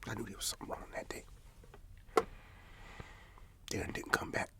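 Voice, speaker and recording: A man playing a troubled, God-fearing farmer, telling his story slowly in a breathy ASMR whisper and repeating phrases in a folksy way. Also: sharp tone. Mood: uneasy, hushed. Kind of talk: whispered ASMR roleplay monologue.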